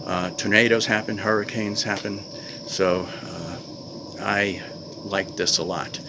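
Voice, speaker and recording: A man's voice: on the low side, level tone, unhurried. An older man talking casually to camera in a plain-spoken, conversational way, like a vlogger reviewing gear. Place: outdoors in a wooded clearing. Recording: noisy outdoor recording with insect ambience.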